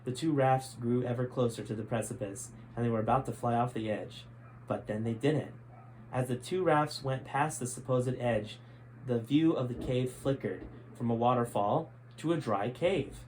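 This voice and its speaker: A man's voice: medium pitch, moderate pace, darkish tone. A man narrating a story in an enthusiastic, dramatic storyteller style as he hosts a reading of his book. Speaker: host reading a chapter of their own book. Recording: clearly noisy background ambience.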